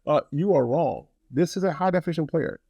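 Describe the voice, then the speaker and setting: A man's voice: a little high, brisk, creaky, dark tone, no echo, dull audio. A man talking casually to viewers in a rambling, blunt product review, often repeating phrases for emphasis. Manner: opinionated, blunt.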